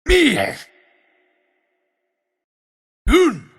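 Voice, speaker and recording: An actor voicing a fierce cat-folk warrior in a video game, bellowing magic battle shouts as single explosive words in a strained, gravelly voice. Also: male voice, extremely high pitch, huge pitch swings, very slow, exaggerated voice. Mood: fierce, forceful.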